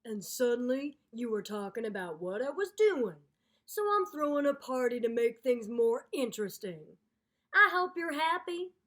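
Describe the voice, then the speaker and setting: A woman's voice: fairly high pitch, big pitch swings, moderate pace. Woman playing a male alligator, exasperated, emphatic, swooping intonation, storybook read-aloud. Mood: indignant. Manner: loud, indignant, emphatic. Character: grumpy, exasperated male alligator party host. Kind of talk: picture book read-aloud.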